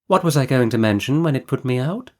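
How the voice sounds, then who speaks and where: A man's voice: medium pitch, expressive, fast, a little mellow, voice pushed up, natural voice. A man reading an audiobook voices a gushing, flighty aristocratic lady, a scheming mother, with a theatrical manner.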